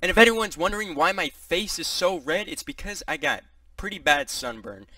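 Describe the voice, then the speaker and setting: Lively bright-voiced young man, dry room. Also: high voice, moderate pace, no room sound, recorded from a distance. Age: young adult. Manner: upbeat, joking then taking it back. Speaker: YouTube reaction host. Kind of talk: casual video intro. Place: small bedroom. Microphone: headset mic.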